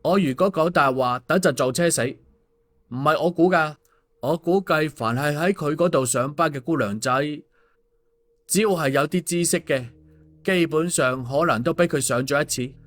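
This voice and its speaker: A man's voice: fairly high pitch, moderate pace, fairly bright tone, natural voice. A man voicing a glib, cheeky male schemer in an audiobook, teasing and mock-sincere with exclamations. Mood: persuading, gossipy. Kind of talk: audiobook dialogue.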